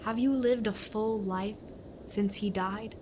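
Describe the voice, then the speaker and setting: A woman playing a gentle, forgiving daughter who speaks with comforting wisdom in a family audio drama. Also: medium pitch, fairly even intonation, slow, slight background noise, close to an everyday voice. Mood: reassuring. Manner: soft-hearted, reassuring.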